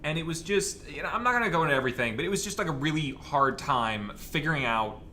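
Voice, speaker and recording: A man chatting casually and telling a rambling anecdote in a halting way, often cutting himself off. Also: a little high, expressive, bright, forceful tone, a little hiss or hum. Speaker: panelist on a fan Q&A show.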